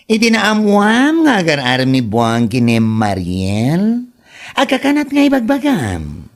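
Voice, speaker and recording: A woman playing an excitable, gossipy friend who scolds theatrically in a comedic audio drama. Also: low voice, measured pace, full character voice. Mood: scandalised, reproachful. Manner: theatrical, exclamatory, reproachful.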